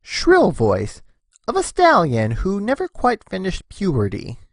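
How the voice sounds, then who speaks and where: A woman giving an expressive, scripted narration of a story. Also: medium pitch, unhurried, no echo, studio quality.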